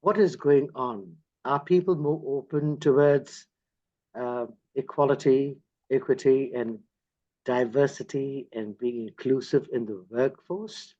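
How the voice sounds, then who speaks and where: A man's voice: medium pitch, measured pace, a little mellow, dull audio. A man hosting an online interview over a video call, enthusiastic and effusive. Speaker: host.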